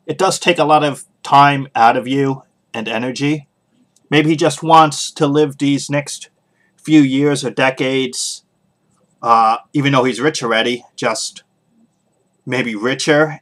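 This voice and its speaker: A man hosting a podcast, giving casual solo opinions in a halting way, pausing often as he thinks aloud. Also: medium pitch. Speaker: podcast host.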